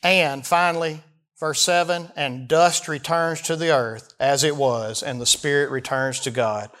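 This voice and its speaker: High-pitched Southern man preaching. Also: steady tone, a little slow, fairly bright tone. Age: early 40s. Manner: folksy, emphatic, rhetorical questions to listeners. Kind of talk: expository sermon, reading verses and explaining them.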